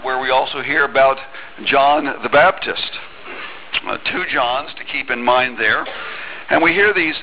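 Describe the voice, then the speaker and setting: Middle-aged male pastor, conversational sermon, headset mic, dull lo-fi sound, faint background hiss. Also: medium pitch. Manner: conversational preaching with fillers and restarts, reading scripture aloud. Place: church sanctuary.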